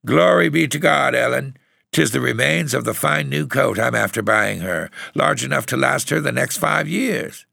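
A man voicing an excitable, scolding mother in an audiobook story, exclaiming. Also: low voice, normal speed, gravelly, dry recording, clean studio sound, heavily acted. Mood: shocked, indignant.

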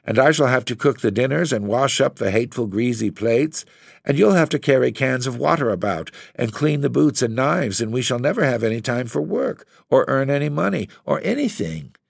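A man's voice: medium pitch, lively intonation, fairly quick, gravelly, no echo, studio quality, voice pushed up. Male narrator doing a tearful, fretting young wife, plaintive, voice lifted well above his own. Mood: fretful. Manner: plaintive, complaining.

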